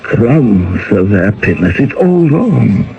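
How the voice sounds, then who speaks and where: Stammering, lovestruck commissar, very animated. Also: male voice, on the low side, slow pace, creaky, heavily acted. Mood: anxious, torn. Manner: stammering, earnest. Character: lovestruck, anxious, ambitious Soviet commissar. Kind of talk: 1980 radio drama acting. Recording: muffled old radio recording.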